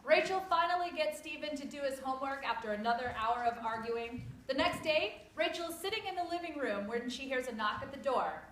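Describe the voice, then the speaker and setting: High-pitched woman narrator in an echoey room. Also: lively intonation, a little fast, few pauses, fairly bright tone. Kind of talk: reading stage directions aloud. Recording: echoey room, faint background noise.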